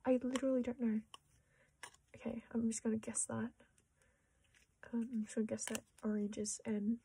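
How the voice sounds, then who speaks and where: A young Australian woman chatting quietly to camera while sorting her collection, often dropping into a half-whisper and poking fun at herself. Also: a little high, level tone, moderate pace, creaky, a little hiss or hum. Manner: soft-spoken, often half-whispering, self-deprecating. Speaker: collecting vlogger. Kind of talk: casual chat while sorting cards.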